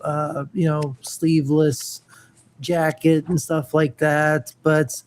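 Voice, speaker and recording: Slow, mellow, hesitant co-host. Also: mid-range voice, level tone. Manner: hesitant, stacks of fillers, repeats words. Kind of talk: casual geeky chat.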